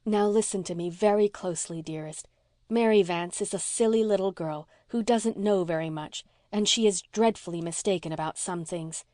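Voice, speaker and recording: A woman voicing a gentle, frank and affectionate woman in a storybook, speaking softly and tenderly as if to a child. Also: on the low side, moderate pace, no room sound, studio quality, lightly acted. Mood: warm, sincere. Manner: soft, tender, sincere. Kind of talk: audiobook character voice.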